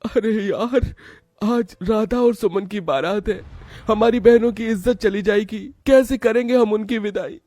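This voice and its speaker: A man's voice: sits high, measured pace, dark tone, no room sound. A man voicing selfless devoted brothers. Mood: distressed. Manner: earnest, emotional. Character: two poor devoted older brothers, selfless. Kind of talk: cartoon voice acting.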